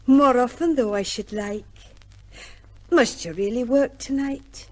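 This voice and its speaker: A woman's voice: fairly high pitch, unhurried, a little mellow. An actress playing an affectionate, devoted village woman, fond and coaxing, in an old-time radio drama. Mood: coaxing, wistful.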